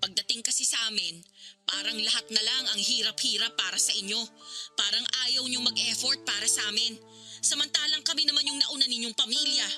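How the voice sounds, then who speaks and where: High, piercingly bright hurt daughter. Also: female voice, fairly even intonation, fairly quick. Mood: bitter. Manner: reproachful, emotional. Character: hurt, resentful grown daughter confronting her father. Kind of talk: radio drama acting. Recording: dramatic music behind the voice. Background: music.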